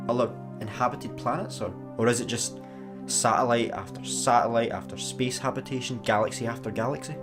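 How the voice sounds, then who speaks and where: An actor with a Scottish accent playing a jumpy, sarcastic, bumbling satellite engineer in a sci-fi comedy radio play. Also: male voice, medium pitch, a little fast, over music, lightly acted. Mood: musing. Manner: excitable, stammering, sarcastic.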